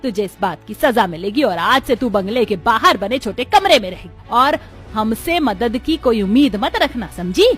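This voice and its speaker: A woman's voice: a little high, lively intonation, normal speed, fairly bright tone, faint music behind. A woman voicing a harsh, domineering older mother-in-law in a cartoon, scolding and cursing. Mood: furious. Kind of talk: Hindi cartoon voice acting.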